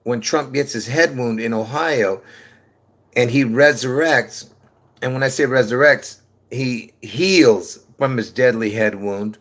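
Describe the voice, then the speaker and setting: A man's voice: medium pitch, takes it slowly. A man with a New York accent hosting a livestream, talking to his viewers in a rambling monologue full of fillers, restarts and repeated points, calling them folks. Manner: repetitive, restarts often, many fillers, calls listeners folks. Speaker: livestream host.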